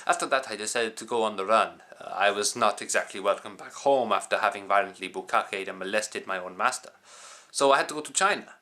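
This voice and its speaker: A young man playing a self-styled wandering samurai, rambling in a deadpan way with sudden crude outbursts, recorded outdoors on city streets. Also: fairly low pitch, quick pace, no echo. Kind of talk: improvised character comedy to camera. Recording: loud street and traffic noise.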